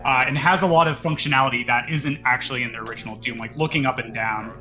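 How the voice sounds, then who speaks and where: A man's voice: medium pitch, quick pace, pushed and bright, poor audio. A man giving casual, explanatory live commentary on a video game speedrun.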